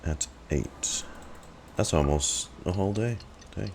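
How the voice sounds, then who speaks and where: Guy streamer doing chill gameplay reactions, low voice, chatty little bursts, bit of background noise. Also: slow pace, gravelly, a little mellow. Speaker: game streamer. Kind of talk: casual live gameplay reaction.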